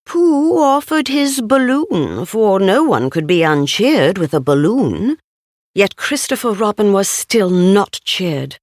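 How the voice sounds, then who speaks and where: A woman's voice: medium pitch, very animated, takes it slowly, a little mellow, no room sound, clean studio sound. A woman narrating a children's story, reading expressively from a script as a storybook narrator.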